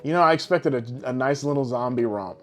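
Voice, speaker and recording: Male podcast co-host, mid-pitched, casual and profane, joking banter with music behind. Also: lively intonation, fast. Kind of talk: casual banter about films and games.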